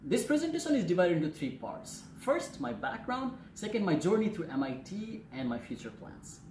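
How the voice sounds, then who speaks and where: A young man with a Nepali accent gives an earnest, formal student presentation. His voice sits a bit high. The room is echoey, with faint noise behind him. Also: sing-song, normal speed, gravelly, a little mellow. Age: 20s. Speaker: student speaker. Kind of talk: prepared personal presentation.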